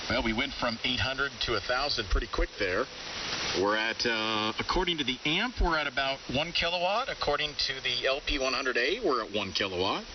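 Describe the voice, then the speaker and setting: A man's voice: mid-range voice, animated, a little fast. Guy on ham radio talking thru his gear readings, casual, sounds distant and dull w static hiss. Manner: informal, thinks aloud while reading out figures. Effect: two-way ham radio transmission. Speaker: amateur radio operator. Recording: hissy, dull, distant shortwave radio audio.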